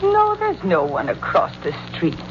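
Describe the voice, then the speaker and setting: A doting sweetheart in a 1940s radio drama, affectionate and expressive. Her pitch moves freely, and the old recording is dull with clear noise. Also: measured pace. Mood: concerned. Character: doting sweetheart, coy then concerned.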